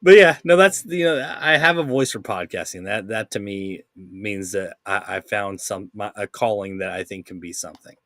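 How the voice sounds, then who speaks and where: A man with a fairly low voice talks casually on a podcast. He is easygoing and often laughs, and he tells stories with plenty of restarts. The sound is close and dry, with no room. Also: normal speed, few pauses, a bit bright, no echo. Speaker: podcast co-host.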